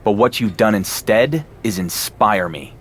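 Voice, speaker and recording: A man's voice: lowish voice, animated, a little slow, a bit bright. An actor playing a young male wrestler, an underdog who speaks dryly and with determination. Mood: determined. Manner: dry, wry, determined.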